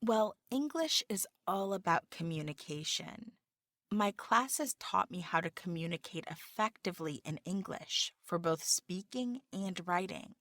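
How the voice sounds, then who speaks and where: Woman, scripted applicant answers, slightly low voice, careful delivery, dry recording. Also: expressive, unhurried, a bit bright, no echo. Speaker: job applicant in a practice dialogue. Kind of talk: scripted dialogue reading.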